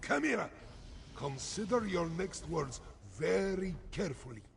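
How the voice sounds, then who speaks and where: An actor playing a stern, loyal cat-folk statesman in a fantasy video game, raspy, grave and formal. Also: sits high, very animated, a little slow, slight background noise, heavily acted. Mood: warning.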